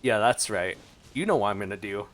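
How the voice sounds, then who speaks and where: A man giving casual, expressive gameplay commentary, talking to himself playfully as he plays. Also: fairly low pitch, a little fast. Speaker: gaming streamer. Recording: game music behind voice. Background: video game music.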